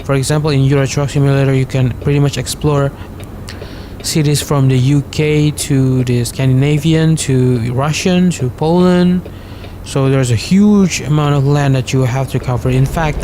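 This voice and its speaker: A young man with a non-native English accent chats his way through a driving game, stumbling over restarts and fillers. His voice sits at an ordinary middle pitch for a man. Engine and traffic noise from the game runs clearly beneath him. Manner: rambling, many fillers and restarts. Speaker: gaming channel host. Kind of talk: casual gameplay commentary.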